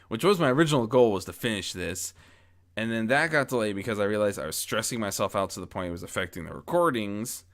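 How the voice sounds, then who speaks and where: A man talking casually and reflectively to his followers as an amateur story narrator, rambling and correcting himself as he goes, close on a boom-arm mic. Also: a bit bright. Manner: rambling, self-correcting, frequent false starts. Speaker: amateur audio story narrator and channel host. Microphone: close mic on boom arm with pop guard.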